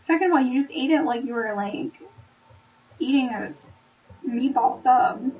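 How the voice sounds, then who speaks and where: A young woman co-hosts a casual podcast in a fairly high voice. She talks animatedly and scatters filler words through her sentences. The sound is dull and lo-fi. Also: moderate pace, far from the mic, a little hiss or hum. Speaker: podcast co-host. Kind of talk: casual chat.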